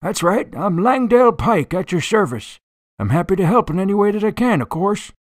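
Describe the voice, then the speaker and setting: A glib male storage facility owner from a radio drama, played by an actor. His voice is high and swings freely, smooth and eager to please, recorded dry with no room around it. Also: sing-song, fairly quick, dry recording. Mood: obliging.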